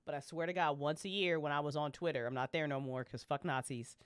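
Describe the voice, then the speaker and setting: A woman's voice: deep voice, steady tone, fast, smooth, no room sound, clean studio sound. Blunt, sweary woman on a podcast. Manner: blunt, profane, slangy, emphatic. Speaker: podcast co-host. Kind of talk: casual chat.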